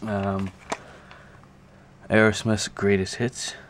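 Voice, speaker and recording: A man with a low, monotone voice talks casually through a show-and-tell. He is halting and unscripted, thinking aloud as he goes. There is noticeable noise behind him on the amateur camera recording. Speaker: video creator showing a music collection. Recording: clear background noise, amateur camera audio.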